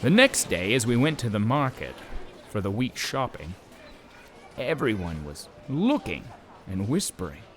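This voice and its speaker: A man voicing an animated, bemused storytelling donkey. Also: on the low side, measured pace, noisy background. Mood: wry, concerned. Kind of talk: children's audio drama narration.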